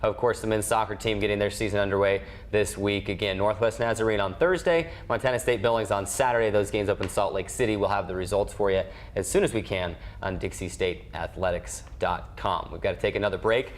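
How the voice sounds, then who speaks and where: A man's voice: fairly low pitch, brisk, hardly any pauses. A man hosting and interviewing on a sports broadcast. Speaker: sports show host.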